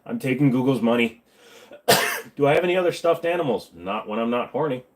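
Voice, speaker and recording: A man's voice: mid-range voice, lively intonation, brisk. A man hosting a casual livestream, answering viewer questions with crude, rambling jokes.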